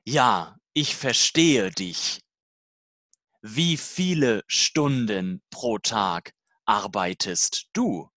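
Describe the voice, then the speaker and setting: Language-course dialogue reader: very slow, deliberate delivery, lively pitch movement. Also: no room sound, studio quality. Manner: slow, deliberate, simple learner-level sentences.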